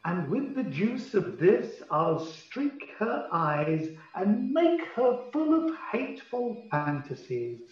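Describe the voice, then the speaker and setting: A man's voice: high voice, sing-song, takes it slowly, hardly any pauses, heavily acted. A man playing a scheming, haughty fairy king in a Shakespearean radio play, relishing each phrase theatrically. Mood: commanding, scheming. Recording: muffled, very echoey, distant from mic.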